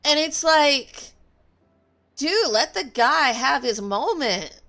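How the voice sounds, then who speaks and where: Gamer girl on stream, kinda high voice, casual, faint music behind her. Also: lively intonation, slow pace, sharp tone. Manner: opinionated, emphatic. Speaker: game streamer. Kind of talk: casual livestream chat and reading game dialogue aloud.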